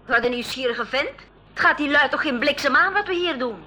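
A woman's voice: high-pitched, expressive, a little fast, sharp tone. A woman acting a bold, curious young girl in a 1980s school radio play, with spirited, stagey delivery. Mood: bold, indignant. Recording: noisy old radio play recording.

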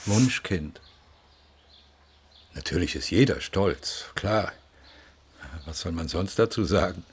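An actor playing a grieving middle-aged father in a radio play, musing haltingly and plainly about his loss. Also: deep voice, animated, husky, heavily acted. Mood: resigned. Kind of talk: interview-like monologue in a radio play.

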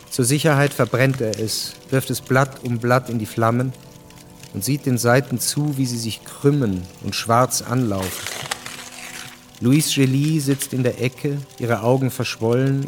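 Male actor sober narrator for a history radio play, medium voice, measured, faint music under. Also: mid-range voice, close to an everyday voice. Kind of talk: scripted literary narration in a radio drama.

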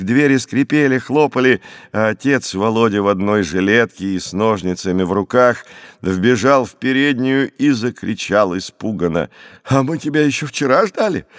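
Guy narrating an audiobook, fairly low voice, expressive storyteller, dry recording. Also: dry recording. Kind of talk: scripted literary narration.

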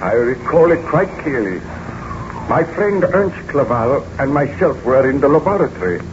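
A man's voice: medium pitch, big pitch swings, normal speed, lightly acted. Guy playing haunted aristocrat scientist, stagey 1930s radio acting, dull noisy old recording. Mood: somber.

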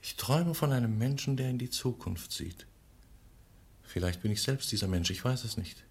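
An actor playing a passionate, brooding poet in a theatrical 1950s German radio play, swinging between hushed and urgent delivery. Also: male voice, mid-range voice, lively intonation, moderate pace, slightly rough. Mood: tender, dreamy. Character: passionate, brooding young male poet pleading with his lover.

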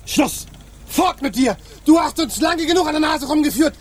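An actor playing an agitated, bossy leader of pirate mutineers in a radio play, abrupt and commanding. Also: male voice, very high-pitched, animated, normal speed, a little hiss or hum. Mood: furious. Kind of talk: German radio play acting.

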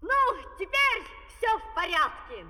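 An actress playing an imperious, scolding menagerie owner in a comic fairy-tale opera, on an old vinyl record. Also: female voice, extremely high pitch, sing-song, slow pace, in a large hall, heavily acted. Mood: bossy. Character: bossy shrill madam, proud menagerie owner. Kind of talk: theatrical comic opera acting with singing.

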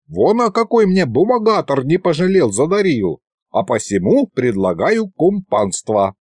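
Sly old ghost wizard, high wheedling voice, folksy; man reads it in an audiobook. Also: dry recording, clean studio sound, heavily acted. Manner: rustic old-fashioned speech, wheedling.

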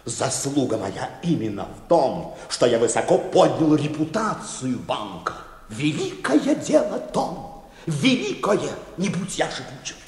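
Pompous vain bank boss, theatrical radio drama, voice swooping all over, big echoey hall. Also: male voice, on the high side, sing-song, measured pace, darkish tone. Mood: boastful, pompous. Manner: pompous, grandiloquent, fussily familiar. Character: pompous, vain, self-pleased male bank chairman. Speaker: actor in a radio play. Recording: echoey hall with long reverb.

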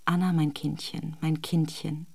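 A woman's voice: low-pitched, little pitch movement, measured pace, gravelly, a little mellow, breathy edge, full character voice. An actress playing a pensive married woman in a radio drama inner monologue, introspective and often nearly whispering. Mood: remorseful, yearning. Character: pensive married woman privately recalling lover and husband, yearning.

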